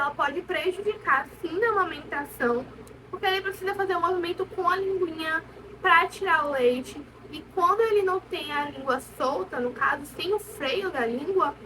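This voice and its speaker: A woman's voice: sits high, pushed and bright. A young woman with a Brazilian accent telling a personal story in a candid, casual vlog style, conversational and emphatic. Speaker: vlogger sharing motherhood experience. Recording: distant mic, noisy room ambience.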